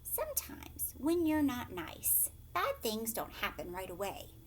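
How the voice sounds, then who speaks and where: A woman teacher reading a storybook aloud to young children, dramatic and expressive, with hushed questioning asides. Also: a little high, a little slow, slight background noise.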